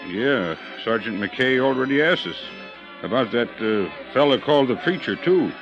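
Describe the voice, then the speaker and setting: An actor playing a slow, evasive arcade handyman in a 1950s radio drama, talking in a drawling, folksy way, on a dull old radio transcription with music underneath. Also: mid-range voice, animated, lightly acted.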